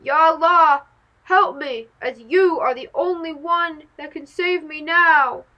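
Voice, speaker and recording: A woman's voice: sits high, takes it slowly, dull audio. A woman voicing an old, lonely male storybook monkey with a dramatic, pleading delivery. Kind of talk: children's storybook character voice.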